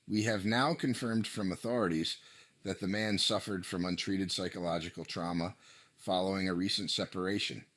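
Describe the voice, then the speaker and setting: A man's voice: deep voice, fairly even intonation, normal speed, a bit bright, dry recording. A man playing a male television news reporter delivering a scripted breaking news report. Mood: grave. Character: male TV news reporter covering a violent manhunt.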